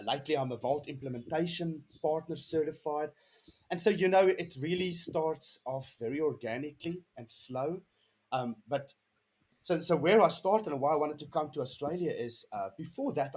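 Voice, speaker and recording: A man's voice: a little high, normal speed, darkish tone, breathy edge. A man in his thirties with a South African accent, a podcast guest telling his story in an earnest, rambling way with many restarts. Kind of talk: casual interview chat.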